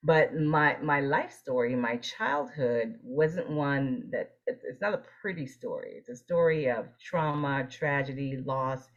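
A woman's voice: very deep voice, unhurried, few pauses, muffled recording. A woman from Haiti speaking earnestly and assertively in a conversational interview, repeating phrases for emphasis. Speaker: interview guest, optometrist and leadership coach.

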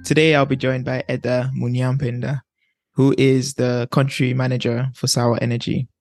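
A man hosts a casual interview podcast, friendly and easygoing. His voice is mid-pitched with a gravelly edge. The recording is dry and close. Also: little pitch movement, normal speed, darkish tone, no echo, soft background music. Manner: friendly, laughs easily. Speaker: podcast host.

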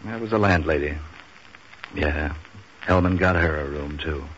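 An actor playing a cynical, wisecracking man for hire in a deadpan, slangy 1940s radio noir style. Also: a bass voice, measured pace. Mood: wry, weary. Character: wisecracking, hard-boiled male man for hire. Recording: dull, slightly noisy old radio recording.

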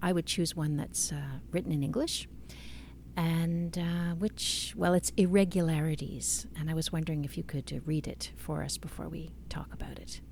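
A woman's voice: deep voice, fairly even intonation, moderate pace, gravelly, a little mellow, a little hiss or hum. A woman interviewing a poet, asking reflective questions and hesitating now and then.

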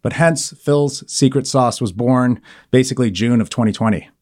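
A man speaking in a radio studio as an interview guest, his voice sitting at an ordinary middle pitch. He talks in a friendly, rambling way, filling pauses with "uh" and "um" as he explains things at length. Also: darkish tone. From Argentina and Italy. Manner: friendly, rambling with frequent fillers. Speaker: guest, sauce company founder.

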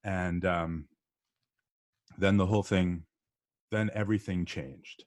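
A middle-aged man from the northeastern US, a podcast guest telling his story in a relaxed, chatty way with frequent ums and uhs. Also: low voice, takes it slowly, no echo, clean studio sound. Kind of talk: casual interview storytelling.

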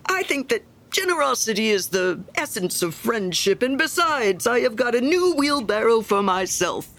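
A woman's voice: on the high side, big pitch swings, moderate pace, a bit bright. A woman voicing a pompous, self-righteous male miller who talks in a smug, preachy way. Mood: self-satisfied.

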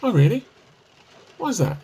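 An actor playing an evasive, sheepish husband with a dry wit in a radio play comedy, hesitant and teasing. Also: male voice, sits high, big pitch swings, moderate pace, gravelly, warm tone, slightly rough, exaggerated voice. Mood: surprised, curious.

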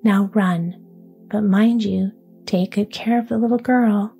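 A woman voicing a bold, bossy little robber girl in a storybook, in a hushed commanding whisper. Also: mid-range voice, fairly even intonation, measured pace, vocal fry, faint music behind.

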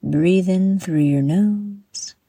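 A woman guiding a meditation from a script in a soothing, measured manner, with breathy exhaled phrases. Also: fairly low pitch, dark tone, no room sound, studio quality. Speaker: meditation guide and host. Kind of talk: guided meditation, scripted narration.

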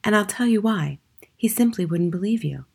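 A woman's voice: mid-range voice, animated, normal speed, gravelly, a little mellow, husky, pitched above the performer's own voice. A woman voicing a clever, knowing young schoolgirl who explains things firmly in a children's audiobook. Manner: matter-of-fact, emphatic explaining.

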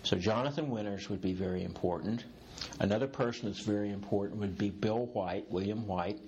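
A man in his late sixties answers interview questions in a low, deep voice. He muses at length and circles back on his own sentences. A faint hum of room ambience sits behind him. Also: brisk, darkish tone, highs cut off. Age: 60s. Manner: reflective, rambling with restarts, occasional laughs. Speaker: executive coach, guest. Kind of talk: interview conversation.

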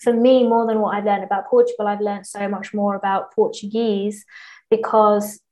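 Woman, native English speaker, language teacher; flat, even delivery, explanatory, restarts often. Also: medium pitch, moderate pace. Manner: explanatory, lots of fillers and restarts. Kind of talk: casual interview conversation.

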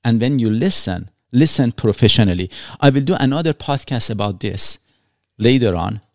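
Man from Iran, podcast host, earnest, explains with examples, mid pitch, dry close sound. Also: expressive, normal speed, darkish tone, dry recording. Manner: earnest, explains with examples, non-native phrasing. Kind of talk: reflective conversational podcast.

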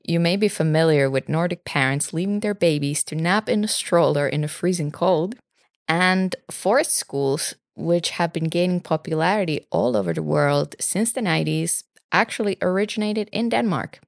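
A woman from Finland in her thirties, a native Finnish speaker, co-hosting a podcast with calm, explanatory reading. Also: lowish voice, moderate pace, gravelly, no echo, clean studio sound. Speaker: podcast co-host. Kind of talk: scripted podcast narration.

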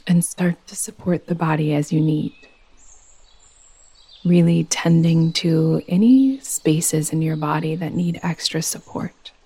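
Slow, low-voiced woman guiding meditation. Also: slightly rough. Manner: soothing, gentle, unhurried instruction. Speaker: yoga nidra guide. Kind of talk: guided meditation.

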